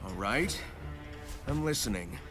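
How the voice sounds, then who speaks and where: A man's voice: on the low side, animated, a little slow. A man voicing a confident, determined young hedgehog hero in a video game, breezy but earnest. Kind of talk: video game cutscene acting. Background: music.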